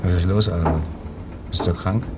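A very low-voiced, laconic gentleman of a hardboiled radio play, generous and concerned. The 1970s drama recording has loud background noise and rumbling ambience. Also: steady tone, normal speed. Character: generous, concerned gentleman addressing a lift attendant, laconic crime-drama type. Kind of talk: 1970s German radio crime drama acting.

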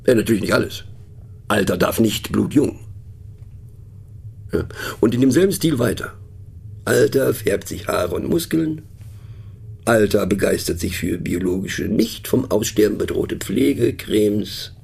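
Very husky, wry, musing narrator man. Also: on the low side, lively intonation, a little mellow, exaggerated voice. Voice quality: husky, gravelly. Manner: wry, pensive, halting, addressing a listener. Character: musing, mocking male narrator pondering abstract words. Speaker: radio play narrator. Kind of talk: conversational radio play monologue.

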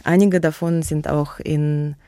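A woman's voice: sits low, fairly even intonation, measured pace, warm tone, no room sound. A woman with a Russian accent, a native Russian speaker, answering radio interview questions about her museum work in a hesitant way, with many fillers and restarts. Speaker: museum curator and guest.

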